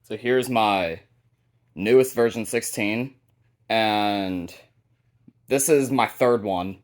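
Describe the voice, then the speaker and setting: A man's voice: on the low side, takes it slowly. A man hosting a hobby video, narrating a casual show-and-tell of a collection.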